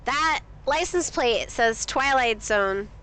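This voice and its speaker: Woman streamer, a bit sniffly, higher voice; casual, chatty, thinks aloud and asks her chat questions. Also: lively intonation, slow, sharp tone, smooth. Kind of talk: casual live-stream commentary.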